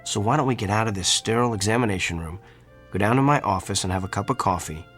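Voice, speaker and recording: Low-voiced reassuring doctor, played by a man. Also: fast talker, a bit bright, faint music behind, close to an everyday voice. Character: candid, reassuring, compassionate male doctor. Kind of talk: radio drama acting.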